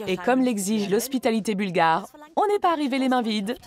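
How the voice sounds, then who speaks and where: A woman reading scripted documentary narration in a measured, informative way. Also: a little high, expressive, loud music behind the voice.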